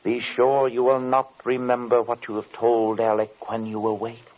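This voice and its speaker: An actor playing a domineering, solemn magician and hypnotist in theatrical old radio horror style, giving incantatory commands. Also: male voice, mid-range voice, monotone, moderate pace, darkish tone, lightly acted. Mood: commanding. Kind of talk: 1930s-40s radio horror acting. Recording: dull vintage radio recording.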